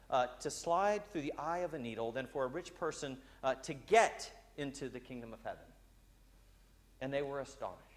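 A man in his thirties, a priest giving a sermon in a large church, speaking conversationally with frequent "uh" fillers. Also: on the high side. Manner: gestures broadly while walking about.

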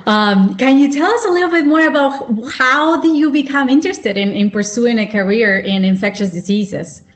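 A woman in her thirties speaking in a measured, deliberate way as a host introducing and interviewing on a video call. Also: fairly high pitch. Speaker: host, clinical psychologist. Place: small indoor home office, video call. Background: music.

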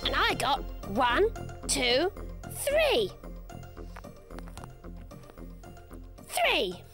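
A woman's voice: high voice, wildly swooping pitch, drawn-out words, loud music behind the voice, heavily acted. A woman voicing a small, silly, eager monkey puppet in a children's show, childlike and drawing words out. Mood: excited.

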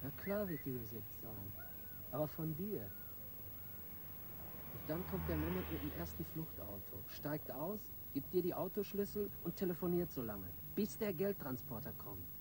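An actor playing a cool, bossy robber in a radio crime drama, giving businesslike, colloquial instructions. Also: male voice, a little high, big pitch swings, moderate pace, noisy background. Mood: cool, commanding. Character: cool, bossy male robber planning a heist.

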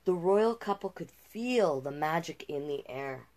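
A middle-aged woman reading a children's picture book aloud with expressive delivery and casual asides. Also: low-pitched. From Canada. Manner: unhurried, expressive storybook delivery. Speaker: storytime host and drag king. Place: small cluttered room indoors.

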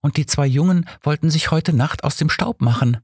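Man voicing a young, indifferent workhouse girl, raised pitch. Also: normal speed, darkish tone, breathy edge, no echo, studio quality. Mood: matter-of-fact, resigned. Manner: matter-of-fact, resigned, deferential in wording.

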